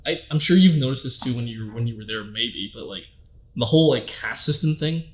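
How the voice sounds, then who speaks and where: A man's voice: medium pitch, expressive, fairly quick, a crowd in the background. A man from Canada hosting a podcast in casual chat, loose and profane, laughing often. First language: Gujarati. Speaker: podcast host.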